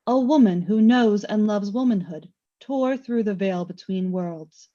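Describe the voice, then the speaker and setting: A woman playing Death in a radio play as a calm, knowing spirit, speaking in a measured, theatrical way with a taunting edge. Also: medium pitch, lo-fi sound, close to an everyday voice. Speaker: voice actor.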